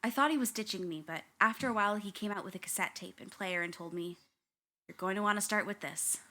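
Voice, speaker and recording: An actress playing an earnest young documentary narrator, confiding and grave with a wry edge, in scripted first-person storytelling. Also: female voice, mid-range voice, fast talker, bright, forceful tone, natural voice.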